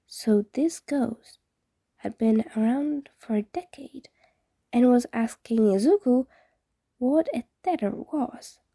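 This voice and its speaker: A woman's voice: fairly high pitch, slow, warm tone, dry recording, clean studio sound. A woman reading fan fiction aloud as a scripted story narration.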